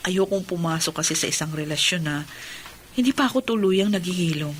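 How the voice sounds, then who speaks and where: A woman's voice: fairly low pitch, expressive, brisk, a little hiss or hum, lightly acted. An actress playing a tender, emotionally wounded young woman in a sentimental radio drama, speaking earnestly and with feeling. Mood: earnest, grateful. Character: tender, wounded young bride Julie, healing from heartbreak.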